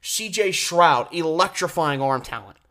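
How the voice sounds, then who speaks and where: A man hosting a sports radio show solo, giving opinionated, emphatic commentary with deliberate pauses and rhetorical questions. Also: on the high side, lively intonation, pushed and bright.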